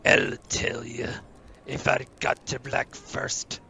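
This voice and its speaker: A man acts out a rough, big-hearted groundskeeper in an audio drama. His voice is thick with gravel and stays in a fairly narrow range, gruff yet full of feeling. Faint noise sits behind it. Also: mid-range voice, level tone, slow, bright, forceful tone, exaggerated voice. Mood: vengeful. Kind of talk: audio play acting.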